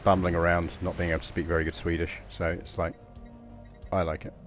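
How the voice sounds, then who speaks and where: Low-voiced English man rambling on stream. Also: little pitch movement, a little fast, faint music behind. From England. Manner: rambling, chatty, self-deprecating. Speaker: game streamer. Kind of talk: casual live gameplay commentary.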